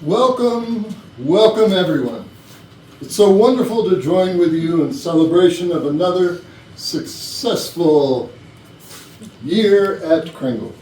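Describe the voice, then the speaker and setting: A man playing a genial retiring chief executive, giving a measured, gracious speech in a stage play. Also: high-pitched, animated, mellow. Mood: gracious, formal. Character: genial, formal retiring male company CEO. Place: indoor rehearsal room. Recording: roomy rehearsal, distant mic, faint noise.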